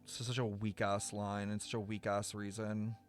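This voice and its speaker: A man's voice: lowish voice, monotone, moderate pace. A man streaming a game, giving casual live commentary in a blunt, critical, sweary way. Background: game music.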